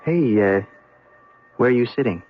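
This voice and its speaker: An actor playing a nosy, streetwise young man in a radio drama, with a husky voice and a restless, pushy, slangy way of talking. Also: mid-range voice, animated, a little slow, soft background music. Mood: pushy, wary. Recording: muffled radio drama recording.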